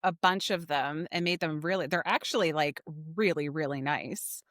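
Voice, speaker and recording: A woman's voice: low voice, normal speed, a bit bright, dry recording, studio quality. An American woman from New Jersey co-hosting a podcast, chatting casually and telling little anecdotes. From New Jersey, United States. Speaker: podcast co-host. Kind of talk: casual chat.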